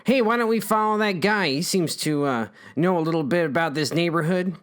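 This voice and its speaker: A dopey burglar from a comic story, as a narrator puts him on. He is slow-witted and giggly, a sheepish fellow who talks in a middling register. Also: medium pitch, close to an everyday voice. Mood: suggesting.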